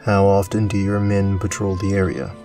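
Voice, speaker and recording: A man playing an observant, methodical inspector in an audio drama, with a measured questioning manner. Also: deep voice, completely flat delivery, mellow, far from the mic. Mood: probing. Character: observant, methodical male inspector investigating a farm. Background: music.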